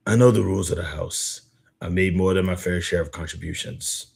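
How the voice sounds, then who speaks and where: A man's voice: sits low, fairly even intonation, fairly quick, vocal fry, slightly rough, heavily acted. A man voicing a grave, fatalistic veteran assassin in a solemn, formal reading of story dialogue. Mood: resigned, bitter.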